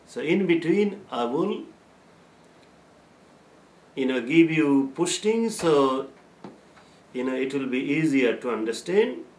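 Slow-speaking older man teaching, echoey room. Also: mid-range voice, lively intonation, darkish tone. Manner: explains verses slowly, chanting Arabic recitation between. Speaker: Islamic teacher. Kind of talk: religious lesson with Quran recitation. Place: plain room with a bare wall.

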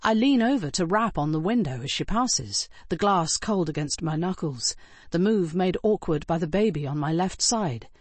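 Female audiobook narrator, lowish pitch, slightly husky edge, dramatic first-person storytelling in a dry studio sound. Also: animated, normal speed, dry recording.

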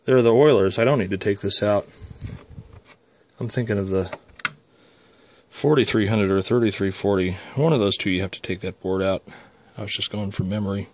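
A man with a fairly low voice walks viewers through a workshop job. He speaks casually and without a script, in a folksy way, as if talking himself through each step. He is recorded indoors on a phone camera. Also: brisk, pauses often, a little mellow, a little hiss or hum. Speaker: repair tutorial presenter. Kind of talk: casual unscripted hands-on demonstration. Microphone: handheld phone camera.

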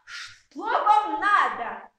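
A woman voicing an indignant, overworked little stream, loud and exasperated, in an amateur puppet play. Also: extremely high pitch, big pitch swings, drawn-out words, full character voice. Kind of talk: amateur puppet theatre acting. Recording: very echoey room, distant mic.

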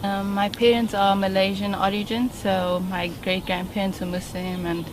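A woman with a South African accent answers in a casual group interview. Her delivery is very even in pitch, and clear noise is heard behind her. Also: moderate pace.

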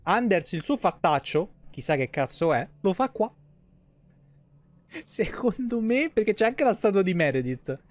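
A man's voice: high voice, big pitch swings, unhurried. A man in his thirties giving casual, joking, foul-mouthed live commentary on a game. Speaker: game streamer. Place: small indoor streaming room at home. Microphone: close streaming microphone.

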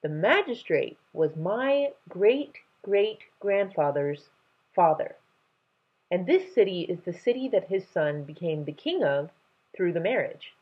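A woman voicing a kindly, regal king in a storybook, speaking in a measured, emphatic way. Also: lowish voice, sing-song, slightly rough, lo-fi sound, full character voice. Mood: explaining. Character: kindly, regal male king telling family history.

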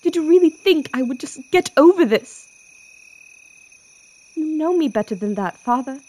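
A woman's voice: high-pitched, animated, normal speed, warm tone. A woman playing a grieving young princess in an audio drama, wistful and introspective, longing for her father. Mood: anguished. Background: music.

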